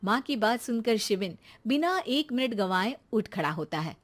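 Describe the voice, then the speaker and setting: Lively high-voiced woman storyteller. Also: moderate pace. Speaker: narrator. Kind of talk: scripted story narration.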